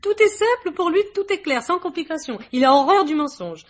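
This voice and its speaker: A woman's voice: very high-pitched, lively intonation, fairly quick. A woman playing a gracious, chatty, devoted young wife and hostess in a radio mystery, polite and confiding. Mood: animated, assured. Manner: polite, talkative, romantic, confiding. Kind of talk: 1950s French radio mystery acting.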